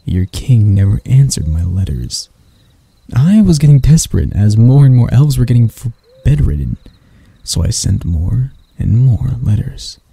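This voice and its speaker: A man playing a proud, mocking elf royal in an ASMR roleplay, speaking in a soft, breathy, husky voice with dramatic pauses. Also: fairly low pitch, very animated, no room sound, full character voice. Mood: bitter, aggrieved.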